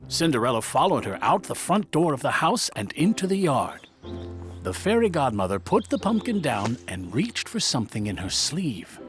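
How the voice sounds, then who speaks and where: Animated male children's storyteller over music. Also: medium pitch. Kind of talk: scripted children's story narration.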